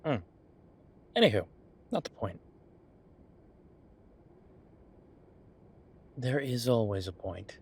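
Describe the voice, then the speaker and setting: A man playing an easygoing chef in an audio roleplay, talking in a casual, musing, explanatory way to his partner, with a slightly husky voice. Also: medium pitch, lively intonation, normal speed. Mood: fond, reminiscing. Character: easygoing male chef planning restaurant business with his partner. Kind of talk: one-sided roleplay acting, casual chat.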